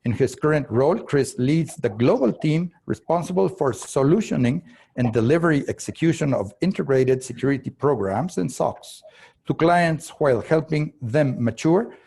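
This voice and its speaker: A man whose first language is Spanish, moderating a conference panel in English. He reads the guests' bios in an unhurried, formal way, with halting restarts and throat-clearing along the way. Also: fairly high pitch, expressive, warm tone. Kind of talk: formal introduction, reading guest bios aloud.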